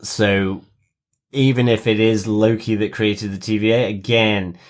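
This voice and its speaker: A man's voice: lowish voice, fairly even intonation, unhurried. A man co-hosting a podcast, casually reviewing a TV episode and often searching for his words. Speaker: podcast co-host.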